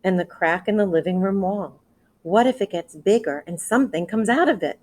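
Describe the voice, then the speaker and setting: A woman's voice: medium pitch, normal speed, darkish tone, lightly acted. Woman reading as an anxious little storybook mouse girl. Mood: worried. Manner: fretful questioning.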